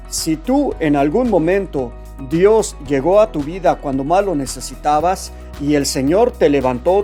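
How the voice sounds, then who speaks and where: Man, testimony show host, fervent preacher-like exhortation, raised pitch, light music bed. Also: a little mellow.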